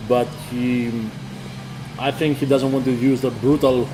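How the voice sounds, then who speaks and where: Give me a man from a band who talks through his band's plans in an interview, speaking halting English padded with fillers. His voice sits at a mid pitch, and clear music runs underneath him the whole time. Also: level tone, low-quality recording. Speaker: band member. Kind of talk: casual interview chat.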